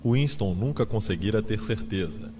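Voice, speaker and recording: A man's voice: on the low side, normal speed. A man narrating a literary audiobook in a dramatized style. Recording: noisy ambient sound-effects bed. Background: ambient sound effects.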